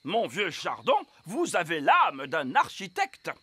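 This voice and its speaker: An actor playing an effusive, snobbish aristocratic fairy husband in a French cartoon dub, pompous and gushing. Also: male voice, fairly high pitch, huge pitch swings, takes it slowly, sharp tone, slight background noise, heavily acted.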